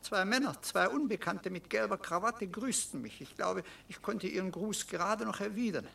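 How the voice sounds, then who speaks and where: A meek, anxious man in a radio play, with a creaky edge to his voice. He speaks hesitantly and deferentially, a little away from the microphone. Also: high-pitched, lively intonation, moderate pace, sharp tone, distant mic, heavily acted. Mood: anxious, haunted. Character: meek, anxious stepbrother of two elderly teacher sisters. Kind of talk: German radio drama acting.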